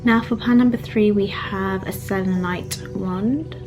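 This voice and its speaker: Soft-voiced young woman reading cards. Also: mid-range voice, music in the background. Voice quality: soft soothing voice. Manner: chatty and rambling with fillers, later slow and hushed. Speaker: tarot card reader. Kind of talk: casual pick-a-card reading, then guided meditation. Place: small home room with bookshelves, indoors.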